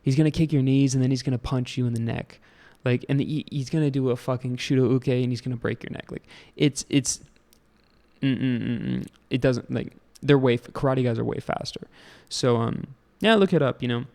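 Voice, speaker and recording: Young American man, host of a solo rant show, rambling and profane, gravelly mid-pitched voice. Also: moderate pace, mellow. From United States. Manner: rambling, profane, many fillers and restarts.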